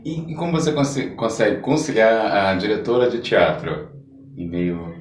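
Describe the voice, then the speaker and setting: Casting: Brazilian male podcast interviewer, deep voice, halting casual delivery, echoey room sound. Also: a little hiss or hum. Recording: echoey room, distant microphone.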